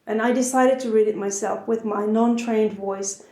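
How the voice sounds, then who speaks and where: A woman's voice: fairly high pitch, steady tone, normal speed, dark tone. A woman with a Finnish accent hosting a podcast, introducing the episode and chatting with a guest in her home. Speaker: podcast host. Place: her house. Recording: roomy echo.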